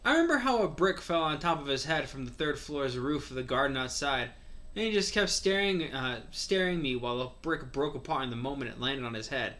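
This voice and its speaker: A man's voice: mid-range voice, animated, fairly quick, bright, forceful tone. A man reading a story aloud as a co-host, slipping in casual asides.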